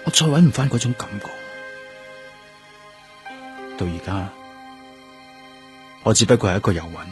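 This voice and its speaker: An actor with a Hong Kong Cantonese accent playing a lonely, grieving, gentle police detective in a radio drama. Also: male voice, fairly low pitch, very animated, quick pace. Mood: wistful, subdued. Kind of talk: radio drama, narrated inner monologue and dialogue. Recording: music under the voice. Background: background music.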